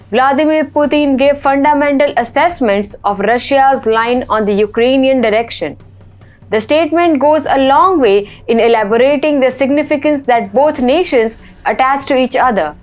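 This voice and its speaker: A woman's voice: high-pitched, normal speed, a little mellow, soft background music. A woman with an Indian accent presenting scripted news reports in a formal manner. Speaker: news host.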